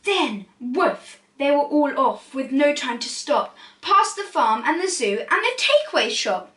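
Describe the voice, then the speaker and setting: A woman's voice: high voice, big pitch swings, measured pace, fairly bright tone. A woman reading a storybook aloud expressively for young children. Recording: roomy echo, distant from mic.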